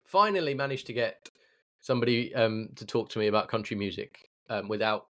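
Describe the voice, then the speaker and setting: A man's voice: lowish voice, animated, brisk, sharp tone. A man, chatting casually as a podcast host. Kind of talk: casual interview chat.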